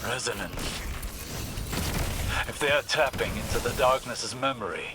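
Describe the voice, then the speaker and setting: A man playing a learned, commanding older warlock in a video game, speaking in a measured, formal and erudite way. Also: mid-range voice, over music, close to an everyday voice. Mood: pensive. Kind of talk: video game mission dialogue. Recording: clear background game noise.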